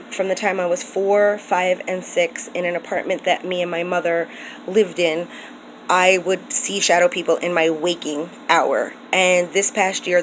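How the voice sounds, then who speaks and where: A woman's voice: on the low side, a little hiss or hum, low-quality recording. Earnest, rambling American woman vlogging. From United States. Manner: earnest, rambling, many ums and restarts. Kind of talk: casual personal vlog talk.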